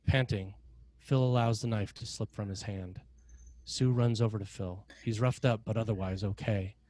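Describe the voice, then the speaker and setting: A man with a lowish voice narrates a screenplay. He reads stage directions in a measured, dramatic style. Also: darkish tone, dry recording.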